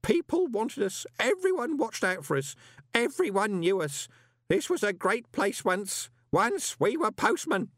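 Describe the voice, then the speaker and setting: A man's voice: sits high, wildly swooping pitch, normal speed, few pauses, fairly bright tone, dry recording, clean studio sound, voice pushed up, full character voice. A man voicing an earnest, sentimental old postal worker who speaks deferentially and wearily in an audiobook. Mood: tearful, nostalgic. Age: older. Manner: deferential, calls people sir.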